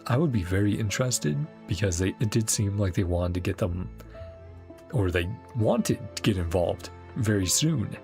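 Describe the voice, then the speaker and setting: Casual game-reaction streamer: mid-pitched, animated and gravelly, chatty and rambling, with game music faintly underneath. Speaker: gaming streamer reacting to a story quest. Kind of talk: casual live game reaction commentary, partly reading dialogue aloud.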